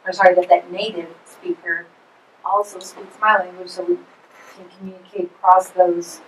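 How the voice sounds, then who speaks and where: A woman's voice: fairly low pitch, level tone, normal speed, slight background noise. A woman speaking thoughtfully at length in a forum discussion, weighing her points as she goes. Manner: thoughtful, rambling. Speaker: forum participant. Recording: echoey room from far off.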